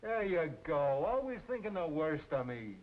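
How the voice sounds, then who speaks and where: An actor playing a cocky, surly working-class grown son in an old-time radio drama, young and blunt, with a needling, slangy delivery. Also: male voice, on the high side, sing-song, normal speed. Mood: surly, mocking. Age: young adult. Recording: tinny, hissy old radio recording.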